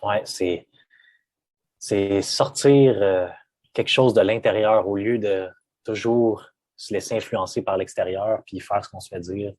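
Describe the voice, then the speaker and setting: Husky Québécois man, low voice. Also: measured pace, darkish tone, no echo. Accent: Québécois French. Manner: reflective, hesitant restarts. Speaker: guest. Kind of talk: casual conversation.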